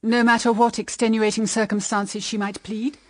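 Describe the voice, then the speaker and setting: A woman's voice: on the high side, flat delivery, normal speed, close to an everyday voice. An actress with a British accent playing an evasive, anxious older mother-in-law in a period radio drama, hesitant and guarded. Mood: probing.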